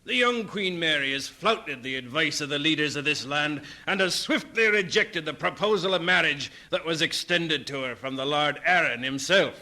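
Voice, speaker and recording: A man's voice: a little high, normal speed, few pauses, bright, forceful tone. A man playing a stern, fervent Scottish preacher in an audio drama, with a solemn, oratorical manner. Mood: stern warning.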